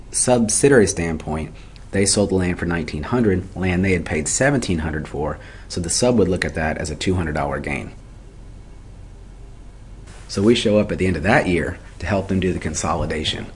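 A man with a very low voice delivers an instructional lecture. He works methodically through each step, asking questions and answering them himself. There is faint noise in the recording. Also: a little mellow. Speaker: accounting instructor. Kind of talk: explanatory lecture for a video tutorial.

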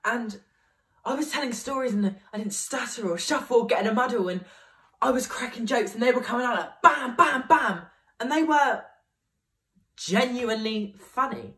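A young man playing an excitable young guy rambling through a party story, peppering it with "like" and tumbling from one thought to the next. The mic sits some way off, so the voice sounds a little distant. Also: extremely high pitch, moderate pace, exaggerated voice. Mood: buoyant. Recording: voice picked up from a distance.

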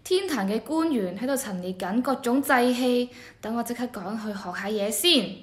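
An eager junior rites official acted by a woman talks in a high voice with a Hong Kong Cantonese accent. The delivery is earnest and deferential scripted radio drama, with a noticeably roomy echo. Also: animated, moderate pace, natural voice. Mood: eager, respectful. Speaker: voice actor.

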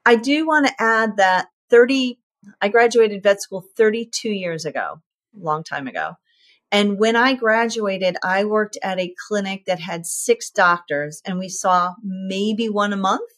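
A woman in her fifties, a veterinarian guest, explaining clearly in an interview and using vivid comparisons and repetition for emphasis. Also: mid-range voice, a little slow, a bit bright.

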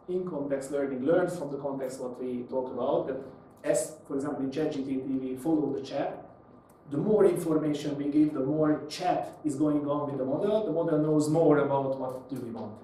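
A man's voice: medium pitch, dark tone. A man presenting a live technical lecture, explaining by posing questions and answering them, with non-native English phrasing. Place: small indoor meetup room with seated audience. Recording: echoey room, distant mic, faint noise.

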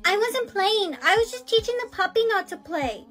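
A woman voicing an anxious young schoolchild in a short comedic skit, quick to deny things and scold. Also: very high voice, animated, a bit bright, faint music behind. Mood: defensive.

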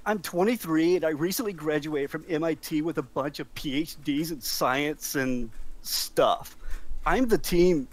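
A man's voice: fairly high pitch, unhurried, lightly acted. A man playing a laid-back young surfer scientist with slangy bro phrasing in a script read. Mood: easygoing. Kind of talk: scripted table read, acting.

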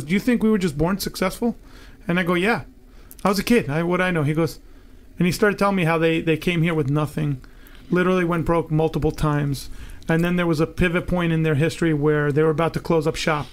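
A man in his forties from Long Island, telling stories as a podcast guest in an animated, laughing way. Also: high-pitched. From Long Island, New York. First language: Greek. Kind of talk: storytelling in an interview.

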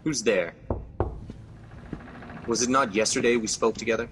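A man's voice: mid-range voice, moderate pace, fairly bright tone, long reverb tail, slight background noise, natural voice. An actor playing Macbeth, a guilt-ridden scheming king, in a classic radio drama. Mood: commanding. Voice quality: brooding, intense.

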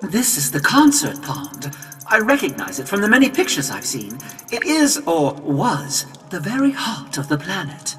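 A woman voicing a small floating robot guide in a video game, excitable, earnest and theatrical. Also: medium pitch, moderate pace. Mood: dramatic. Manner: theatrical, exclamatory. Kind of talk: video game cutscene acting. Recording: background game music.

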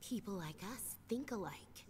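A woman's voice: mid-range voice, lively intonation, unhurried, a little mellow, close to an everyday voice. An actress playing a hot-headed young female assassin who talks in an intense, clipped way and swears, in game audio. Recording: game audio under music.

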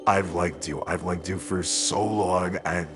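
A deep-voiced young man in love as acted by a man, anxious and protective. He pleads and reassures in halting repeats, in a game roleplay drama with music behind him. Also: steady tone. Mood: anguished confession.

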